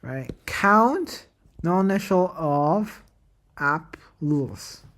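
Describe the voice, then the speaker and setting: A woman's voice: sits low, expressive, drawn-out words, a bit bright. A woman whose first language is Cantonese, thinking aloud at her computer as she plans a made-up language, rambling and questioning herself with many fillers. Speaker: language creator. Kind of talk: thinking aloud while screen-recording.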